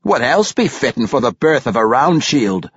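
A man's voice: medium pitch, very animated, normal speed, husky, no echo, highs cut off. A man voicing a jolly, hearty dwarf priest in a fantasy audiobook, merrily exclaiming. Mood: congratulatory. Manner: merry, exclaiming dialect.

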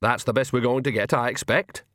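A man's voice: medium pitch, fairly even intonation, brisk, fairly bright tone, no room sound, clean studio sound, close to an everyday voice. A man voicing a brash, cheerful old witch woman who gives orders bluntly in a storybook reading. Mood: commanding. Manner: breezy, blunt and commanding.

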